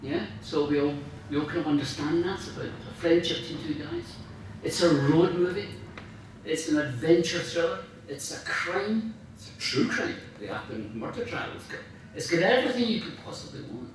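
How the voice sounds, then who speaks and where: A man's voice: a little high, lively intonation, normal speed. Enthusiastic man speaking in a very echoey room. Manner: enthusiastic, emphatic, searching for words. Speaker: guest speaker, radio dramatist. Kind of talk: informal after-dinner talk about writing. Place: large indoor room at a club dinner. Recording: very echoey room, distant mic, faint noise.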